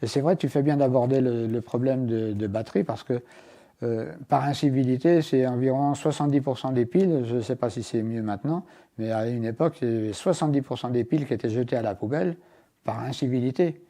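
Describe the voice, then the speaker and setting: Mellow-voiced man explaining step by step. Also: mid-range voice, brisk. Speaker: inventor and guest demonstrating his product. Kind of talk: hands-on product demonstration in an interview.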